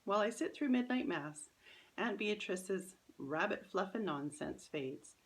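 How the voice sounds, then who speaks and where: A woman's voice: medium pitch, expressive, measured pace. A woman reading a children's story aloud as its author, in scripted first-person narration.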